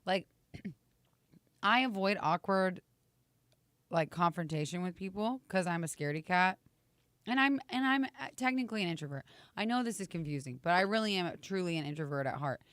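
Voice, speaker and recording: Raspy midwestern lady co-hosting a morning show, teasing and chatty, lowish voice, dry sound. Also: normal speed, dry recording. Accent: American Midwestern. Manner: teasing, chatty, animated with guests. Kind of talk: casual chat. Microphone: video call.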